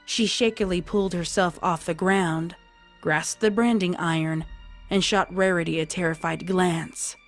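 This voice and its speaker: A woman's voice: lowish voice, steady tone, a little slow, soft background music. A woman reading a scripted story as a dramatic narrator.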